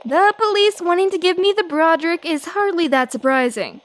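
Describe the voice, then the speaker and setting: A woman playing a pert, inquisitive young radio co-host in a radio drama, quick to retort. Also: extremely high pitch. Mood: dryly teasing.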